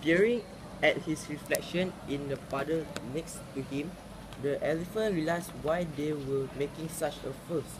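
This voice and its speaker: A man's voice: a little high, normal speed. A man reading a folk tale aloud. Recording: clearly noisy recording with ambient sound.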